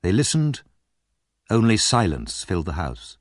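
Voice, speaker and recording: A male audiobook narrator reads a story with a very expressive, moving pitch. The delivery is measured and dramatic, recorded dry and close. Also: no echo.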